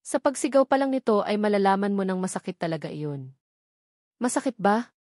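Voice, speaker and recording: A woman's voice: mid-range voice, animated, fast talker, darkish tone, no echo, studio quality. A woman narrating a dramatic story from a script as an audiobook reader.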